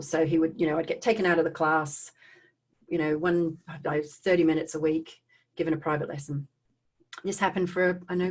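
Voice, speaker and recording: Aussie woman on the lower side, hosting an online talk, chatty storytelling, keeps checking in with listeners. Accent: Australian. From Australia. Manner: conversational, animated asides, checks in with listeners. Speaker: speaker hosting a live online talk on trauma recovery.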